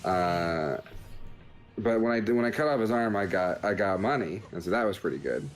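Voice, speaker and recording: A man's voice: lowish voice, moderate pace, faint music behind, poor audio. A man hosting a video game show, giving casual, rambling commentary in a joking, understated way.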